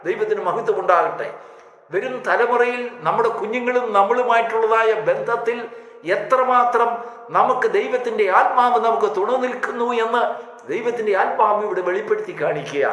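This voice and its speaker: A man's voice: extremely high pitch, normal speed, fairly bright tone. A man preaching a devotional sermon, telling stories and exhorting emphatically in short, repeated phrases. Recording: echoey reverberant hall sound.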